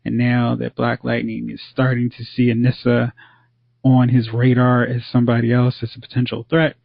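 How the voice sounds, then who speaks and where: A man co-hosting a casual podcast, talking through TV episodes with relaxed, opinionated chatter. His mid-range voice sits dry and close on a slightly dull, lo-fi recording. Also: medium pitch, normal speed, vocal fry, dry recording. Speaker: podcast co-host. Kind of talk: casual TV review chat.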